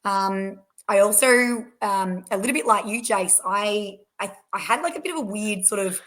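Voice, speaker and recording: An Australian woman in her young adult years speaks as a podcast guest, with a voice that sounds hoarse and croaky. Her pitch sits in an ordinary middle range. She talks in a loose, rambling way, full of fillers and restarts. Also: normal speed, fairly bright tone. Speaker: race winner guest. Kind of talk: casual podcast interview.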